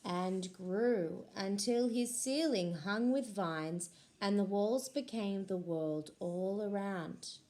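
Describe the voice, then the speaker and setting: Slow-reading school librarian. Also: female voice, mid-range voice. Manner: slow, expressive storybook reading. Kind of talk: reading a picture book aloud to children.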